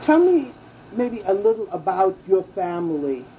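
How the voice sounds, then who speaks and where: A woman conducting an oral history interview, reading the formal opening details in a measured way and asking plain questions. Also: medium pitch, very animated, dark tone. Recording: dull lo-fi tape with faint hum.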